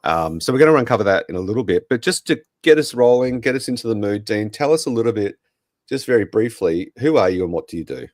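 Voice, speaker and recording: An Australian man with a croaky voice, casually hosting a podcast. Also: on the low side, expressive, fast, a little mellow, no echo, studio quality. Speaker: podcast host.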